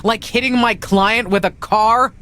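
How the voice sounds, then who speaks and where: A woman's voice: a little high, fairly even intonation, unhurried, fairly bright tone. A woman playing a blunt, protective wrestling manager in a video game cutscene, speaking in a pointed, sardonic way. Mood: pointed, exasperated.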